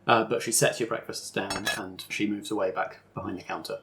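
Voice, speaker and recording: Creaky-voiced man running a tabletop game. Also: low voice, steady tone, brisk, noisy background. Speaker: game master. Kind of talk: narrating a tabletop roleplaying game.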